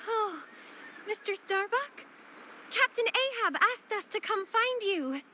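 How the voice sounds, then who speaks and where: A woman playing a gentle, earnest young girl adventurer in a video game, speaking politely. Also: very high-pitched, expressive, slow, slight background noise, heavily acted. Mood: tentative, polite. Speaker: game character voice actor. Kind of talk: video game character acting. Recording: loud music behind the voice.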